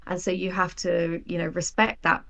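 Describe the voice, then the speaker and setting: A woman's voice on the lower side, with a marked creak. She talks as an interview guest, reflecting openly and explaining her working process with frank self-doubt. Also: steady tone, normal speed, a bit bright, muffled recording. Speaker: guest, audio drama writer.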